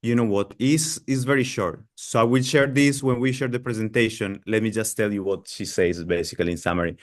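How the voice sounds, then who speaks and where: A man with a fairly low voice hosts an online seminar over a video call. He hesitates often, apologizes, and fills his pauses with drawn-out sounds. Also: steady tone. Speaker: seminar host.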